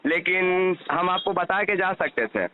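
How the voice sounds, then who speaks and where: A man's voice: high voice, a little slow, background noise. A young man from Bihar with a Bihari Hindi accent, talking casually and bluntly over the phone. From Patna, India. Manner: blunt, insistent. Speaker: news reporter. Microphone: telephone. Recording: tinny, narrow-band phone call recording.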